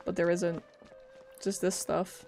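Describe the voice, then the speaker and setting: A woman's voice: fairly low pitch, flat delivery, slow, vocal fry, darkish tone, soft background music. A woman live-streaming a game, giving informal, casual reactions and commentary with some swearing.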